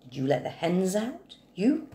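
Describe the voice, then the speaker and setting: A hard old farm mother as a woman reader puts her on scolds with venom in a poem. The voice is sharp-tongued and commanding, in a roomy, echoing recording. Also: lowish voice, very animated, unhurried. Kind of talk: poetry reading character voice. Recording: roomy echo, faint hiss.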